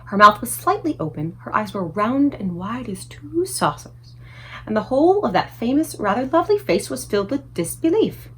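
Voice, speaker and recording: A woman reading a children's book aloud as an expressive storyteller. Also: fairly high pitch, moderate pace, husky, poor audio.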